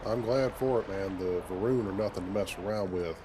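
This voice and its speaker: Man, low voice, gamer roleplay, dry-humored space captain, noisy game ambience. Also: a little fast. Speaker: gamer voicing his player character. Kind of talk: in-character roleplay over gameplay.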